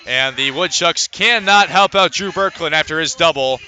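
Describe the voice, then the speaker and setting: A man calling live baseball play-by-play as a radio announcer, conversational between pitches and lifting his delivery for the action. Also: normal speed. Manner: lifts into excitement on plays, conversational between pitches.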